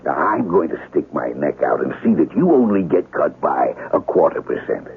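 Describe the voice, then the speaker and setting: An actor playing a stingy, overbearing boss in a radio drama, blustery and patronizing as he smooth-talks his way along. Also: rumbling low, sing-song, moderate pace, full character voice. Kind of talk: 1970s radio drama acting. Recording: noisy, tinny old radio broadcast.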